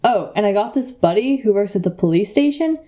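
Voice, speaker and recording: A woman's voice: medium pitch, expressive, brisk, mellow, lightly acted. A woman playing a laid-back, slangy crime reporter who is easily impressed. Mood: excited, laid-back.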